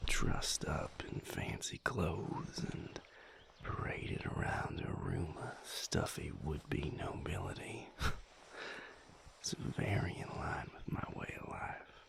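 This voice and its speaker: Man acting a low, whispery vampire lover. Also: full character voice. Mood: dry, sardonic. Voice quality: whispery, gravelly. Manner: unhurried, soft, drily teasing. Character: affectionate, teasing, laid-back male vampire lover. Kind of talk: intimate whispered audio roleplay, ASMR-like.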